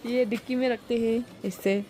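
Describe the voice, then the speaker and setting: A woman's voice: fairly high pitch, steady tone, moderate pace, noisy background. A woman, chatting casually and informally. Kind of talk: casual chat.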